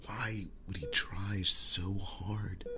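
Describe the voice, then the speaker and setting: A man acts out a wounded werewolf boyfriend in an ASMR roleplay, devoted and earnest. His low voice moves slowly and haltingly, often slipping into breathy, tender whispers. There is clear noisy ambience behind him. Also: fairly even intonation. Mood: earnest, reassuring. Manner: slow, halting, soft-spoken reassurance. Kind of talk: intimate ASMR roleplay monologue.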